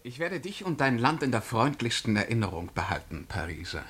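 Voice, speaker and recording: An actor playing a haughty, menacing time traveller in a radio drama, declaiming in a pompous, commanding way. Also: male voice, medium pitch, very animated, brisk, a bit bright. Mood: solemn. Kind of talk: German radio drama acting.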